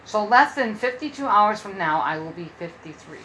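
A woman's voice: mid-range voice, expressive, moderate pace, a bit bright, slight background noise, rough recording. A woman in her early fifties hosting a casual solo podcast from her room at home, rambling and often repeating herself. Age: 50s. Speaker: podcast host.

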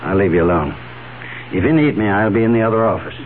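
An actor playing a formal, fussy, disapproving professional man in a 1970s radio drama, with measured phrasing. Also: lowish voice, animated, lightly acted. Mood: businesslike. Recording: tinny, noisy old radio broadcast.

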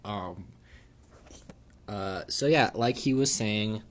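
A man hosting a comic podcast with deadpan, mock-formal delivery and many fillers. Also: on the low side, slow pace. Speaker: podcast host.

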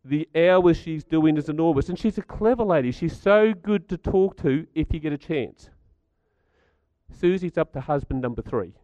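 A middle-aged man with a croaky voice, giving a chatty talk to a live audience and asking them questions. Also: fairly high pitch, animated, normal speed, warm tone, dry recording, dull audio. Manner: chatty, stats-driven, asks the audience questions. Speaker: speaker at a community gathering.

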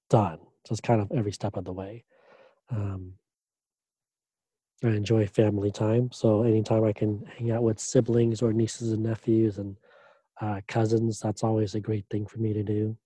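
A man, a doctoral student, answering interview questions in a relaxed way, pausing often with fillers and restarts. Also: lowish voice, no room sound. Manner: hesitant, many fillers and restarts.

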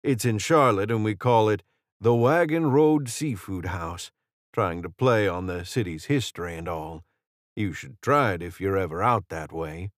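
A man's voice: on the low side, a little slow, dry recording, clean studio sound, lightly acted. A man voicing a genial, courteous fey assistant with a Southern drawl in an audiobook story. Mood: chatty, polite. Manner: courteous, chatty, folksy.

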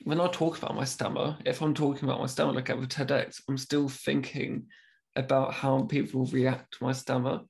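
A man's voice: mid-range voice, flat delivery, vocal fry, darkish tone. A young man who stammers, talking openly about it in a casual conversation as host. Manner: speaks with a stammer, pausing and restarting words.

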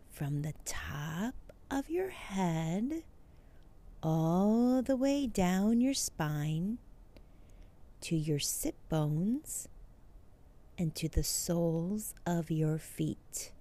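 A woman leading a guided meditation as host, speaking soothingly, with phrases that often trail into a breathy near-whisper. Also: on the low side, warm tone.

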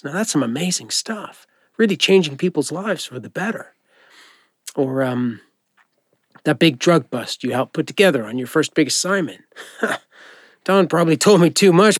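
A man playing a friendly, informal doctor who gently encourages and reassures a patient in an audio drama. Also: fairly high pitch, husky, no room sound, clean studio sound. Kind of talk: naturalistic audio drama acting.

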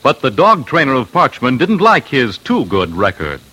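Dramatic old-time radio narrator, lively man. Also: medium pitch, moderate pace, husky.

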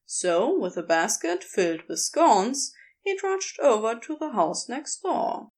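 A woman narrating a written story in an expressive, unhurried storytelling manner. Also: a little high. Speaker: podfic narrator.

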